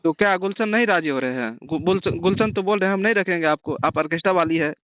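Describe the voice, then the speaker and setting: A man's voice: sits high, fast, no room sound. A man conducting a blunt, accusing interview over the phone, badgering with pointed questions. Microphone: phone call. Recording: narrow tinny phone-line call.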